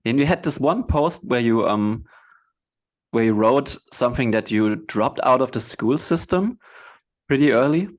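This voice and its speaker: Relaxed male podcast host. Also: medium pitch, moderate pace. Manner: relaxed, friendly questions, laughs often. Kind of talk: casual interview chat.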